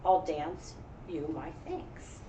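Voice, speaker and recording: A woman voicing a gentle, modest female ballet-dancing cow from a storybook, sweet and earnest. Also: low-pitched, steady tone, slow. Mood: grateful. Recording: echoey room, distant mic, faint noise.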